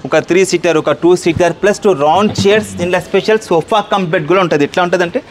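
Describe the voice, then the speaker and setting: A man in his thirties with a Hyderabad Telugu accent gives an unscripted furniture sales pitch, insistent and repetitive, peppered with English words. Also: rapid-fire. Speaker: furniture shop owner and salesman. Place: large indoor furniture showroom. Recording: clear background room noise.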